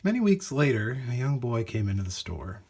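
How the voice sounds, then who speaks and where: A man reads a children's story with theatrical emphasis. His voice is slightly rough-edged and husky, and his pitch moves up and down freely as he stresses key words. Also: fairly quick. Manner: expressive, dramatic emphasis. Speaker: storybook reader. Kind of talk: animated scripted storybook narration for children.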